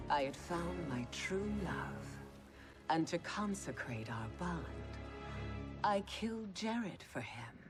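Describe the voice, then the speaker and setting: Slow, haughty, ruthless queen. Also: deep voice. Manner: haughty, deliberate, regal. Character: proud, ruthless queen, scheming, unrepentant mother. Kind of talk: video game cutscene acting. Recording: loud music behind the voice. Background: loud cinematic score.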